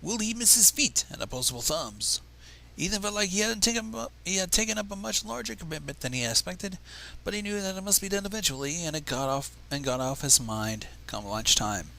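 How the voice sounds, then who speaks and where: A man reading a story aloud on a livestream in an expressive, informal, theatrical and irreverent way. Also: high voice, brisk, creaky, slight background noise, rough recording. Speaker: fan fiction reader on a livestream.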